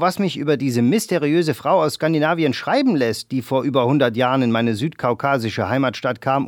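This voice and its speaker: A man reading a novel excerpt aloud with theatrical swings between loud bursts and hushed lines, then reviewing the book for the radio. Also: mid-range voice, no echo, studio quality.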